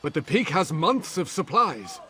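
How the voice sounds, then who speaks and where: An anxious military underling in a fantasy game. His fairly high voice is deferential and varies widely, over faint noise. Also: very animated, unhurried, sharp tone, heavily acted. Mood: wary. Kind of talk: fantasy video game cutscene.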